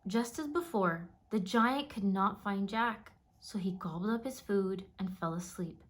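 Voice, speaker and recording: Slow-paced woman reading a children's story. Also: mid-range voice. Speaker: storybook narrator. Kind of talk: scripted children's read-aloud narration.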